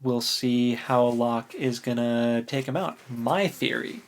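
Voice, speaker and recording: A podcast co-host chatting casually about books, recapping in an animated way with relaxed slang. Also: medium pitch, slow. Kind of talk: casual book discussion chat.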